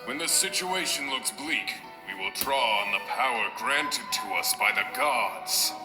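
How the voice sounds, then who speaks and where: Casting: man playing devoted game warrior, reverent and earnest, medium pitch, echoey over music. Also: animated, a little slow, lightly acted. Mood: hopeful, reverent. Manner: earnest, declamatory. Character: devoted male samurai fighter, hopeful, rousing, reverent. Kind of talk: video game dialogue acting. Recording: roomy echo, game music behind.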